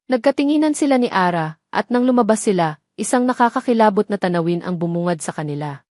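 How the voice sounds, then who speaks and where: A woman's voice: medium pitch, expressive, fast talker, darkish tone, no room sound, studio quality. A woman reading a scripted story as a narrator, in a dramatic style.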